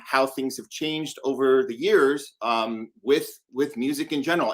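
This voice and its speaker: A man's voice: mid-range voice, fairly bright tone. Conversational man hosting a live show. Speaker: show host.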